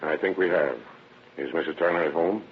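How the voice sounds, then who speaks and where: Casting: male actor for businesslike American sheriff, deep, guarded, matter-of-fact, noisy old radio drama sound. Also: brisk, close to an everyday voice. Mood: firm, guarded. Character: firm, businesslike small-town sheriff making an arrest. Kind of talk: old-time radio crime drama acting. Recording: tinny, noisy vintage radio recording.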